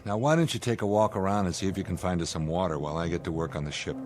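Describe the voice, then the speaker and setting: Actor for sarcastic male American space commander, radio play: lowish voice, needling, short on patience. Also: very fast, darkish tone, faint music behind, close to an everyday voice. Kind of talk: radio drama acting.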